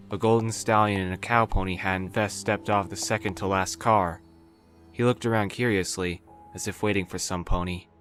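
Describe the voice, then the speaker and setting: A woman narrating a fan story in a steady storytelling manner. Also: rumbling low.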